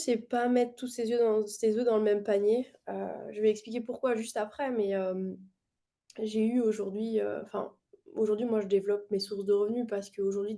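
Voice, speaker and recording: Creaky, mellow French woman, thirty. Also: mid-range voice. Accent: French from France. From France. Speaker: podcast guest, engineer and investing content creator. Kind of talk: casual interview chat.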